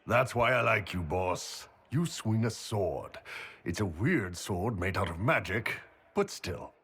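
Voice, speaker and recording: An actor playing a big, jovial mercenary chief in a video game, bluff and husky. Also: male voice, fairly low pitch, lively intonation, slow, a bit bright, slight background noise, heavily acted. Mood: hearty, amused.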